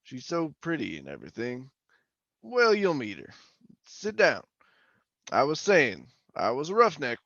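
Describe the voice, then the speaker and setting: An actor playing a garrulous middle-aged former oil-rig roughneck, telling his tale in a rambling, folksy radio drama manner. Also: a little high, huge pitch swings, unhurried, long pauses, fairly bright tone, no room sound, lo-fi sound, heavily acted. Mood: folksy, chatty. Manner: rambling, folksy, colloquial storytelling. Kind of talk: old-time radio drama monologue and dialogue.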